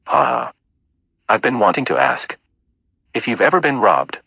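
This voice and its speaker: An easygoing milkman hero played by a male actor in an audio play. His voice is husky and bright-edged, jumping widely in pitch, and he talks in a casual, laconic way. The sound is close and a little dull. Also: sing-song, unhurried, dry recording, heavily acted. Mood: casual, shy. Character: milkman, laid-back heroic man with a crush.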